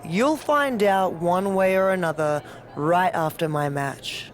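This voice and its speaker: A woman's voice: fairly low pitch, slow. A woman playing a cocky, teasing champion wrestler in a video game cutscene. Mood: smug, teasing.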